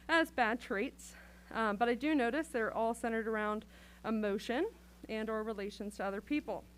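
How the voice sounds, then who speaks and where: A woman on a city council giving a prepared, earnest speech, speaking directly and deliberately to the room. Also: a little high, a little hiss or hum, poor audio. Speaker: council member.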